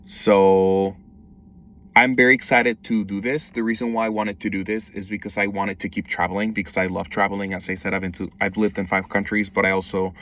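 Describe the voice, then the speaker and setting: A man's voice: on the low side, flat delivery, fairly quick, a bit bright, a little hiss or hum. Young man from Mexico, distant mic. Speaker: wildlife conservation educator. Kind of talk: self-introduction video, casual monologue.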